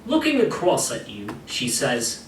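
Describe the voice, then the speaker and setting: A man playing the guiding narrator of an adventure game, reading scripted lines in an expressive, theatrical way. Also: mid-range voice, measured pace, a little hiss or hum. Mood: guiding, storytelling. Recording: echoey hall playback, distant, faint music.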